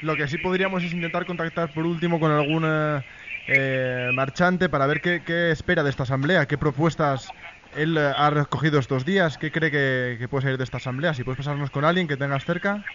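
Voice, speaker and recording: A man's voice: fairly high pitch, steady tone, fairly quick, a bit bright. A man co-hosting a live radio programme, asking unscripted interview questions and searching for his words. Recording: muffled lo-fi radio with faint noise.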